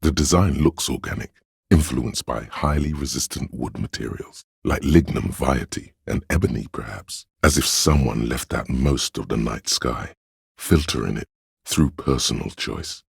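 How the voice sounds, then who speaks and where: An actor voicing a pompous, art-obsessed inner voice in a video game, narrating in a literary, deliberate and theatrical way with a husky, gravelly tone. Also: male voice, rumbling low, no room sound, clean studio sound, full character voice. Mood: solemn musing. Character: pretentious art-obsessed inner voice, male, musing and cutting. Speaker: narrator of a character's inner skill voice.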